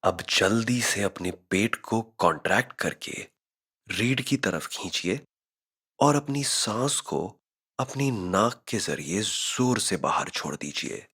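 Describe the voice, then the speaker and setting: A man narrating a scripted guided meditation, giving measured instructions with frequent pauses. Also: lowish voice, expressive, sharp tone, slightly rough.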